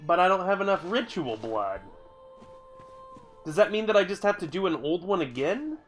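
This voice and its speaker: A man's voice: sits high, very animated, normal speed, soft background music. A man live-streaming a video game, stuffy from a cold, chatting casually with viewers in a rambling, grumbly way and swearing now and then. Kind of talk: casual live-stream chat while playing.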